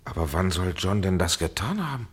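An actor playing a polite, measured man under police suspicion in a German radio play, with formal phrasing and a husky voice. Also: deep voice, big pitch swings, exaggerated voice. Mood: concerned.

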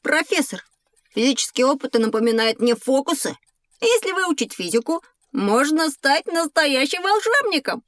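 A woman's voice: sits high, very animated, moderate pace, a bit bright, no echo, studio quality. A woman playing a naive, inquisitive and cheeky young schoolboy in an educational audio drama. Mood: wonder-struck. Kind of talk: scripted audio drama dialogue.

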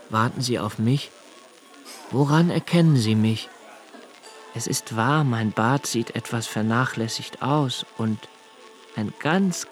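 An actor playing a sensitive, impoverished young poet, speaking an introspective, deliberate monologue in a radio play. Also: male voice, fairly low pitch, natural voice. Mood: anxious. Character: sensitive, brooding, poor young foreign poet and diarist. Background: music.

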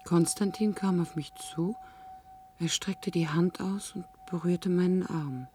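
An actress playing an earnest commune member, recounting events precisely and soberly in a 1970s German radio drama. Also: female voice, on the low side, steady tone, a little slow, mellow, faint music behind, close to an everyday voice. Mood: quiet, pensive. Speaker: radio play narrator.

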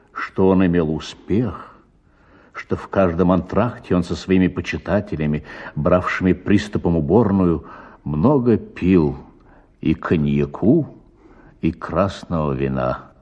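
A man narrating a literary story for radio in a measured, deliberate way. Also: low voice, warm tone, muffled recording.

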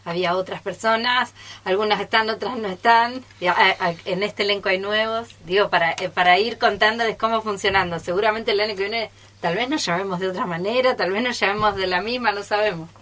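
A woman's voice: medium pitch, brisk, a bit bright. A woman with an Argentine accent chatting informally live in a radio studio. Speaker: radio theatre troupe member introducing the group.

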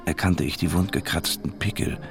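An actor playing a hard-boiled private detective who narrates the story in a dry, laconic way, in a radio drama. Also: male voice, deep voice, fairly even intonation, moderate pace, close to an everyday voice. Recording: music playing behind.

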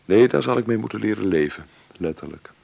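An actor in a Dutch radio play playing a wry, principled filmmaker brother who speaks ironically, with muttered asides. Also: male voice, fairly low pitch, expressive, brisk, vocal fry, darkish tone, lo-fi sound, full character voice. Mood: wry, bitter.

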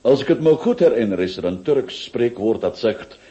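An actor playing an urbane, drily teasing gentleman friend, mellow and expressive, in a radio play. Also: mid-range voice, moderate pace, close to an everyday voice. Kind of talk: Dutch-language radio play acting. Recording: dull lo-fi radio recording.

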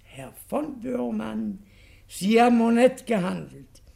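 An actor playing a genteel, affable baron and high official in a radio comedy, courtly and hesitant, often repeating himself. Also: male voice, expressive, takes it slowly, gravelly, darkish tone, exaggerated voice. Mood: relieved, gracious. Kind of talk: classic German radio comedy acting.

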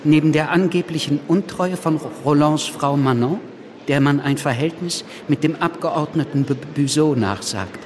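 A man's voice: fairly high pitch, normal speed, a little hiss or hum, natural voice. A man playing a stammering, sardonic revolutionary gossip in a radio play, with a wry, needling manner. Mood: wry, gossipy. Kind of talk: radio drama dialogue.

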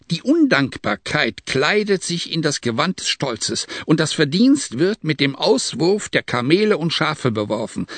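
A man's voice: a little high, a bit bright, no room sound, voice pushed up, full character voice. A man voicing a loyal, boastful male companion who defends his master in flowery, indignant speech in an audiobook reading.

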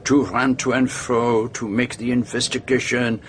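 An actor playing a mature, reflective Belgian detective in a radio drama, with a French-speaking Belgian accent and formal, deliberate phrasing. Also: male voice, mid-range voice, flat delivery, lightly acted. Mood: courteous, probing. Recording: noisy vehicle ambience behind dialogue.

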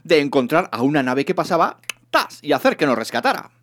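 A man voicing an excitable, breezy male space hitchhiker in a comic science fiction audiobook, airy, offhand and teasing. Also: on the high side, fairly quick, pitched above the performer's own voice. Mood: excited, pleased.

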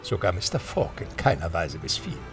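A man's voice: low-pitched, big pitch swings, moderate pace, music in the background. A man narrating a scripted audio drama as storyteller.

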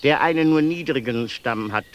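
An actor playing a male asylum inmate who narrates in a measured, circling, repetitive way in a radio play, with birdsong and noise behind him. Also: on the high side, highs cut off, close to an everyday voice. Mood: insistent. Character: male asylum inmate narrating his family's strange deaths. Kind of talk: 1990s radio play acting.

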